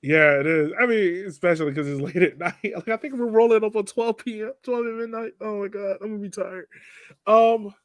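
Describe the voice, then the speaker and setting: A man's voice: high-pitched, brisk, no echo. A man co-hosting a casual sports podcast, chatting about a game in a playful, joking way. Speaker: podcast co-host.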